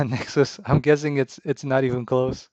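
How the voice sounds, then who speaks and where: A man's voice: medium pitch, dry recording. Man chatting on a dev stream. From Romania. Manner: relaxed, halting, many fillers and restarts, chuckles. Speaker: indie game developer streaming. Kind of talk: casual livestream chat with viewers. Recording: dull, slightly lo-fi dry sound.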